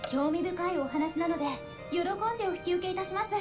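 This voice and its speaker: A woman's voice: very high voice, very fast. An actress playing a polite, eager young woman tour guide in a Japanese visual novel. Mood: polite, obliging. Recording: music loud behind the voice. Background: loud game music.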